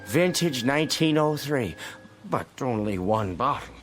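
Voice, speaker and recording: A man's voice: mid-range voice, lively intonation, a little slow, lightly acted. Man doing boozy friendly policeman, sing-song swings, old radio drama, bit of music. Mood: astonished. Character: genial, tipsy Irish policeman heading home.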